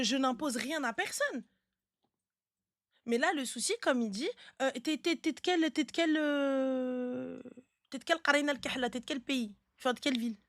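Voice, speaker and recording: A woman's voice: high voice, a bit bright, no echo, clean studio sound. A woman hosting a casual live advice chat, speaking bluntly and insistently and often repeating words to drive a point home. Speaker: live stream host giving relationship advice.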